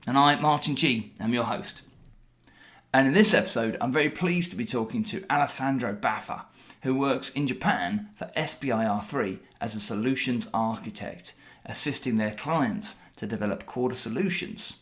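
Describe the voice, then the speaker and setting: A man hosting a podcast, asking a guest a list of questions in a brisk, lightly teasing way. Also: mid-range voice, fairly bright tone. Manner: brisk, scripted intro then questions. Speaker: podcast host. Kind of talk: podcast interview.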